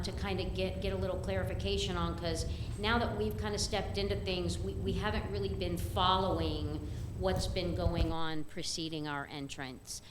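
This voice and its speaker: Woman, lowish voice, casual public comment, hedgy, roomy with loud rumble. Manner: casual, hedging with kinda and um. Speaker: resort general manager at an open forum. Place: community meeting room. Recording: echoey room with loud rumble.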